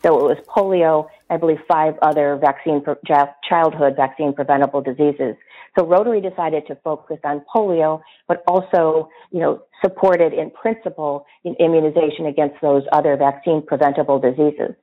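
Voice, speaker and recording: A woman's voice: low-pitched, fairly even intonation, normal speed. A woman directing a health program, explaining in an interview with small restarts. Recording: tinny phone-like line.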